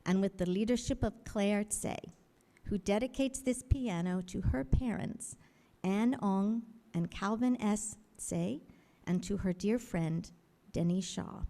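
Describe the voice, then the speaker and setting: A woman with a mellow, warm voice makes a formal announcement in a large sanctuary, reading at an even, measured pace. The sound rings with a long hall reverb. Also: medium pitch. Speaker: church speaker dedicating a piano. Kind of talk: formal announcement in a worship service.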